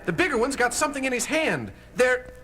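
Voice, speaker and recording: Excitable, high-voiced teen boy sidekick. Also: fairly quick, heavily acted. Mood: excited. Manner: wide-eyed, exclamatory. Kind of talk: 1970s superhero record drama acting. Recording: reverberant hall, music behind.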